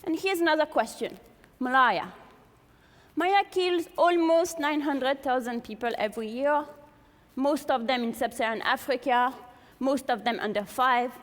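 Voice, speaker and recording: A woman's voice: sits high, normal speed. A woman from France with a strong French accent, giving a public lecture to an audience in a large hall and posing many rhetorical questions. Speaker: speaker giving a talk to an audience. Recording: long hall reverb.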